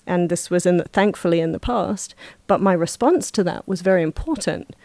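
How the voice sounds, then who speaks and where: A woman's voice: on the low side, fairly quick, gravelly, dark tone, dry recording. A woman talking as a podcast guest in casual conversation, reflective and explaining her views at length. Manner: reflective, talks at length in long flowing explanations. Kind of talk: casual chat.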